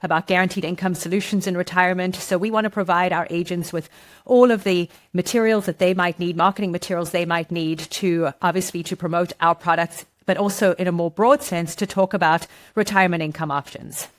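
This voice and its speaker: Middle-aged South African woman, podcast guest, fairly low voice, chatty and explanatory. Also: fairly even intonation, a little fast. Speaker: guest, marketing director. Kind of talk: podcast interview.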